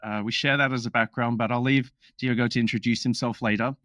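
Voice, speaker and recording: Male podcast host, mid-pitched voice, upbeat and jokey, dry close mic. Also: steady tone, a bit bright, no echo. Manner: upbeat, jokey, quick asides. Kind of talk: casual podcast chat with read disclaimer.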